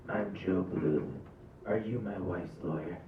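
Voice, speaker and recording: An actor playing a clueless, awkward husband with a deadpan manner in a live comedy script read. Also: male voice, deep voice, a little slow, a little hiss or hum. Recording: distant, echoey, muffled room capture.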